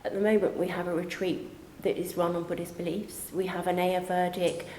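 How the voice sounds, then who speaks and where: A woman's voice: lowish voice, level tone, brisk, a little mellow, slight background noise. A woman on a college's staff, talking conversationally in a promotional interview, recorded in an echoey space. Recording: echoey space, faint background ambience.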